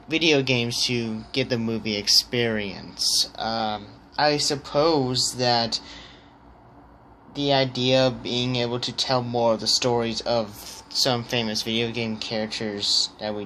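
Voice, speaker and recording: Slow, halting man with a bright voice. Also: medium pitch, a little hiss or hum. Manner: hesitant, halting phrasing with repeated stammered word starts. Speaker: vlogger. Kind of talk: casual opinion vlog, thinking aloud.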